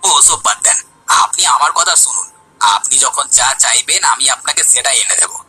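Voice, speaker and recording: A man playing a pushy village schemer, very sharp-voiced. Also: mid-range voice, animated, fairly quick, slightly rough, dry recording, faint music behind, heavily acted, rough recording. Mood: flattering, sly. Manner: wheedling, pushy. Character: scheming flattering village man, bullying to lesser folk. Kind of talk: Bengali cartoon story dialogue.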